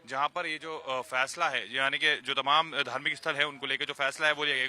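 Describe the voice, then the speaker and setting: Forceful, very bright male news reporter. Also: on the high side. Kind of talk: live on-location news report.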